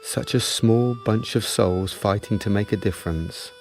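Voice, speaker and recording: A man playing a grave storyteller, narrating a scripted war drama in a deliberate way. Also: fairly low pitch, a little mellow, slight background noise. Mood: solemn.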